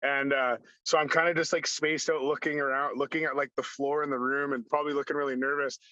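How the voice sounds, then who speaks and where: A man, a podcast guest, telling animated stories with a slightly raspy voice, acting out what people said and filling his talk with "like". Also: medium pitch, fast talker, dry recording. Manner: acts out dialogue, heavy use of "like". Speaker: podcast guest, former hockey enforcer. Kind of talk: animated storytelling. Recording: dull lo-fi sound.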